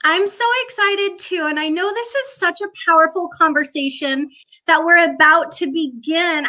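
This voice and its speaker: Super bubbly lady hosting a video call stream, high bright voice. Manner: bubbly, effusive. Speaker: host. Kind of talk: enthusiastic livestream hosting.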